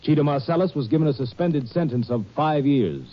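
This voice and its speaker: A man's voice: on the high side, animated, a little fast. Male narrator, matter-of-fact, old radio drama, dull lo-fi sound.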